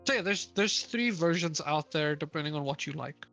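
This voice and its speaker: Woman, low pitch, casual, rambling, laughs often, faint music. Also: expressive, fairly quick, a bit bright. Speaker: book club co-host. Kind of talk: casual chat.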